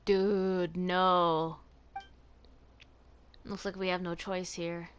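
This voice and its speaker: Female game streamer, slightly low voice, casual reactions, clear background noise and clicks. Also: flat delivery, very slow.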